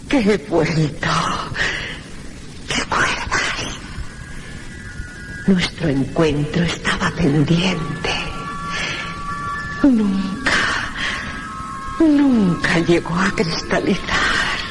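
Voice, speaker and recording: A woman playing a ghostly, lovelorn dead noblewoman calling to her beloved in a horror radio play, in a drawn-out, beckoning way. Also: lowish voice, big pitch swings, music in the background, heavily acted, poor audio. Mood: eerie longing. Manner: slow, drawn-out, beckoning delivery. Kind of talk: Spanish-language horror radio play acting.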